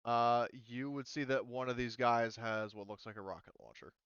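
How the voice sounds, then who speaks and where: A man's voice: medium pitch, moderate pace, a bit bright, clean tone, no echo, lo-fi sound. A man running a tabletop game as game master, talking casually and thinking aloud as he checks the rules.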